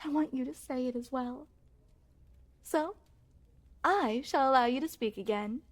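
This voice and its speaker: A woman's voice: high-pitched, unhurried. A woman playing a possessive, secretly shapeshifting queen in an ASMR roleplay, speaking formally and regally with doting menace. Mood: tender, lovestruck. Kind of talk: ASMR roleplay, scripted character acting addressed to the listener.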